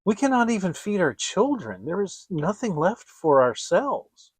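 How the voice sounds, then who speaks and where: Guy doing poor worried woodcutter dad from a fairy tale, soft-hearted, pleading, dry sound. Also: high-pitched, big pitch swings, a little slow, breathy edge, dry recording, pitched above the performer's own voice, heavily acted. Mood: anguished.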